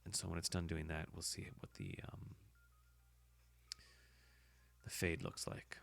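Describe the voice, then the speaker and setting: A man with a hoarse voice, casually talking through his editing work as a podcast host, thinking aloud with fillers. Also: deep voice, very animated, unhurried, a bit bright. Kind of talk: casual screen-recording commentary.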